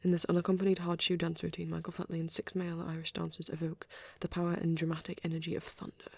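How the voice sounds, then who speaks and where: A man voicing a shy, soft-spoken vampire boy in an ASMR roleplay, hesitant and breathy, often slipping into a whisper. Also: a little high, monotone, dry recording. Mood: gently reciting. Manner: hesitant, halting, gently spoken. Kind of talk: ASMR, soft rambling and reading aloud.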